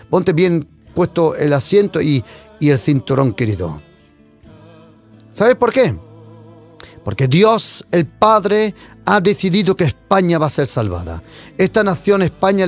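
Impassioned male preacher, lively pitch. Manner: impassioned, swings between loud declarations and hushed asides. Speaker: pastor. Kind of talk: preaching sermon.

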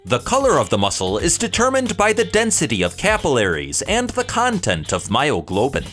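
Male science narrator, highish pitch, hugely varied intonation, clear explanatory script read for viewers. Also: wildly swooping pitch, normal speed, a bit bright, soft background music. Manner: explanatory, presentational, addresses viewers with rhetorical questions.

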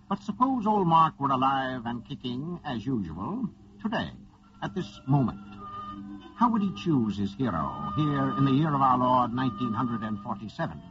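A husky-voiced man giving a formal, theatrical scripted introduction as a 1940s radio theater host. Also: mid-range voice. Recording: muffled scratchy 1940s radio recording.

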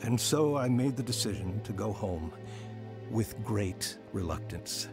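A man's voice: fairly low pitch, animated, unhurried, music in the background. An actor playing a proud, remorseful husband and father who tells his own story in an audio drama. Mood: reflective narration. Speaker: lead actor and first-person narrator. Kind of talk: dramatised testimony radio drama.

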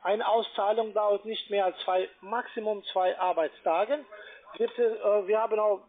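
A man with a foreign accent, speaking non-native German as he gives a telephone sales pitch. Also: steady tone, measured pace. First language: not German. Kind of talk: sales pitch on a phone call. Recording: muffled narrow-band phone line.

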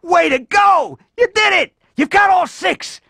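A man playing an eager, chummy little bug sidekick with a raspy, gravelly voice in a children's computer game. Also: very high-pitched, expressive, unhurried, bright, forceful tone, dry recording, clean studio sound, faint music behind, exaggerated voice.

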